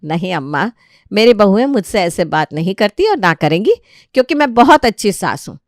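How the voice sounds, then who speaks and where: A woman voicing a sharp-tongued, defiant middle-aged wife and daughter-in-law who argues back in an animated family story. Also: mid-range voice, moderate pace, no echo, clean studio sound, natural voice. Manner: argumentative, retorting.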